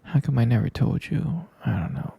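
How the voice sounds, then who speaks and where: A man playing a gentle, sleepy vampire boyfriend in an intimate ASMR bedtime roleplay, murmuring tenderly and hesitantly in a breathy, gravelly half-whisper. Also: medium pitch, big pitch swings, moderate pace, muffled recording, a little hiss or hum. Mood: patient, explaining.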